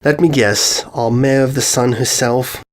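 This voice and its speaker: A man's voice: mid-range voice, steady tone, unhurried, lightly acted. A man voicing a flirty male pony prince who plays up mock-romantic lines.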